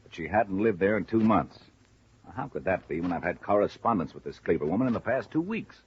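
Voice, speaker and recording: An actor playing a talkative, puzzled male insurance man in a 1950s radio drama, chatty and deliberate. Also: deep voice, animated. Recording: muffled, noisy old radio recording.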